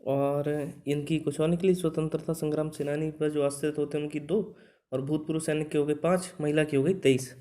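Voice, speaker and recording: A man with a soft, mellow voice pitched a little high. He talks like a coaching instructor going through an official notice for students, conversational and explanatory, often adding small tag words to check in. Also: steady tone, brisk. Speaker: exam coaching instructor and channel host. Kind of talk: informal explanatory lesson, reading out a recruitment notice.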